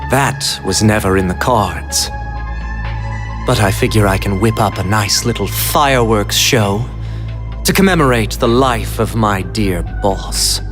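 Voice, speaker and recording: A man voicing a flamboyant, sinister mafia executive in a video game, with theatrical, mocking, drawn-out phrasing. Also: fairly low pitch, lightly acted. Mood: gleeful taunting. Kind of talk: dramatic English game dub acting. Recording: music under the dialogue.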